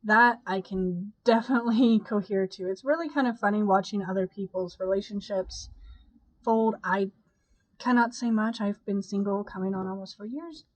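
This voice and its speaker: A young woman talks to her viewers in a loose, rambling way, her voice stuffy and nasal from a cold. She sits at a middling pitch, and the sound is close and dry with no room around it. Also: moderate pace, dry recording, poor audio. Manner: chatty, rambling, with sighs and laughs. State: congested from a cold. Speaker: vlogger. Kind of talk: casual chat to camera.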